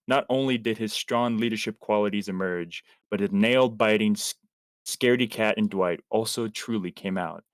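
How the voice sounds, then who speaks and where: A man with a fairly low voice reads fan fiction aloud in a theatrical storytelling manner. He occasionally trips over a word. The sound is dry and close, with very little room to it. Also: no room sound. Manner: theatrical, with stumbles over words. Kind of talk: dramatic story reading to friends on a livestream.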